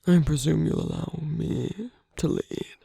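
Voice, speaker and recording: A man playing a suave, flirtatious villain in an ASMR roleplay, speaking softly and intimately in a breathy half-whisper with a teasing, coaxing manner. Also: a little high, huge pitch swings, measured pace, no room sound, clean studio sound, heavily acted. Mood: playful, reassuring. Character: suave flirtatious male villain wooing a hero at a masquerade.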